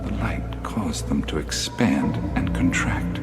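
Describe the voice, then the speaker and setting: A man's voice: a bass voice, animated, slow, loud music behind the voice, exaggerated voice. A man acting a thoughtful, curious adventurer in a radio drama, speaking in a musing, hesitant way. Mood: wondering. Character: male adventurer, thoughtful, curious, easily spellbound.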